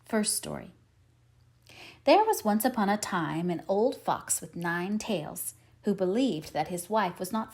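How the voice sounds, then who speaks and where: Very animated woman storyteller. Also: medium pitch. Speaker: storyteller, audiobook reader. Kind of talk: scripted fairy tale narration.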